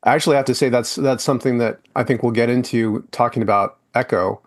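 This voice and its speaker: A man's voice: medium pitch, fast. Man, podcast host, casual interview chat, rambling with fillers. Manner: chatty, loose, many fillers and restarts.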